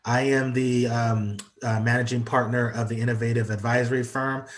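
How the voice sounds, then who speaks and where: A man's voice: medium pitch, little pitch movement, normal speed, slight background noise. Congested man on a video call. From United States. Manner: hesitant, lots of ums and uhs. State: congested from allergies. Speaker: guest speaker on entrepreneurship. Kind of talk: online presentation.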